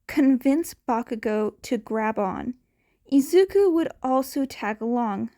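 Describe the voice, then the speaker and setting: A woman reading fan fiction aloud as a scripted narration, deliberate, with the odd small stumble over a word. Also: sits high, mellow. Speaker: podfic narrator.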